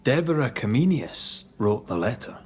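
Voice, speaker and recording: An actor playing a blunt, steady ghost hunter in colonial America, wry and direct in old-fashioned phrasing. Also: male voice, mid-range voice, normal speed, slightly rough. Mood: businesslike. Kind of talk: dramatic game dialogue.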